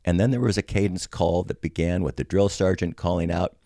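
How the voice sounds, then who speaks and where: An older American man telling personal stories from his past in a conversational, measured, plain-spoken way, with a gravelly voice. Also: sits low, steady tone, dark tone, dry recording, studio quality. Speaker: storyteller, army veteran. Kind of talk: personal reminiscence, podcast narration.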